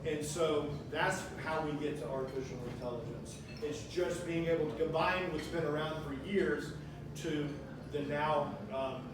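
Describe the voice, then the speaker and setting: A man with a slightly higher voice talks to a small group in a loose, rambling way, restarting his sentences often. The sound bounces around a reverberant room and comes from a distant mic. Background noise is clear underneath him. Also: normal speed. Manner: rambling, conversational, lots of restarts. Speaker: guest speaker. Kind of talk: presentation to a small audience.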